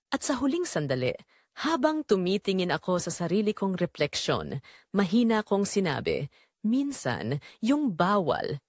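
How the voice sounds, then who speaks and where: A woman's voice: medium pitch, no echo, clean studio sound. A woman playing a lonely middle-aged widowed mother who tells her secretly yearning story in an audio drama. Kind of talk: first-person dramatic audio story narration.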